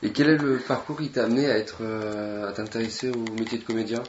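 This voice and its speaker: Interviewer: woman, very deep voice, informal questioning, muffled echoey room. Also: fairly even intonation, a little fast, darkish tone. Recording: echoey room, dull sound, heavy noise.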